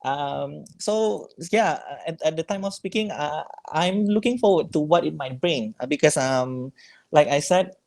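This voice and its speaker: A man's voice: fairly high pitch, a little slow, creaky, a little mellow. A man, a former scholarship student and teacher, answers interview questions in a casual, hesitant way, with many fillers and restarts. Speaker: guest teacher, scholarship alumnus.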